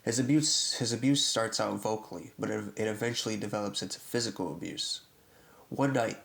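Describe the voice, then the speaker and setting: A man with a fairly low voice reads a scripted horror chronicle. Heavy vocal fry runs through his delivery, giving it a rough, creaky grain. He moves deliberately from one short phrase to the next as a narrator laying out events. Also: a bit bright.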